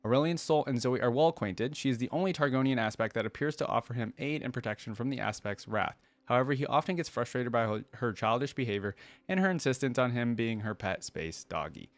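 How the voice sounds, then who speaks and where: A man hosting a reaction video in an informal way, reading text aloud and thinking out loud about it. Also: mid-range voice, animated, fast, no room sound. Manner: informal, thinking aloud between read passages. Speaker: reaction channel host.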